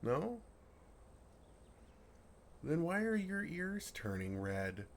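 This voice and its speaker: A man playing a gentle, affectionate, innocently oblivious dragon in an intimate, earnest ASMR roleplay. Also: a little high, big pitch swings, drawn-out words, slight background noise, exaggerated voice. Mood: puzzled affection. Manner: earnest, softly teasing, innocently puzzled.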